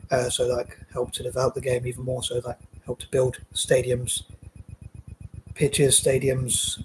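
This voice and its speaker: Monotone man rambling over background noise. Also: mid-range voice, rough recording. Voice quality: flat, monotone delivery. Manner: hesitant, meandering. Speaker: amateur video creator introducing a reaction video. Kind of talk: rambling casual vlog talk.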